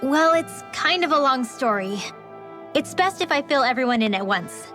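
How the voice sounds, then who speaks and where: A cautious young rebel woman, brisk and a little high-pitched. Clear game music plays under her lines. She keeps her voice low and careful. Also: lively intonation, a bit bright. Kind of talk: video game voice acting.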